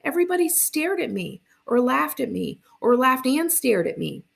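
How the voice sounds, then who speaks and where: A woman's voice: sits high, sing-song, moderate pace, creaky, pitched above the performer's own voice, heavily acted. A woman voicing an embarrassed, sarcastic middle-school girl in a storybook reading, exasperated and emphatic. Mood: indignant.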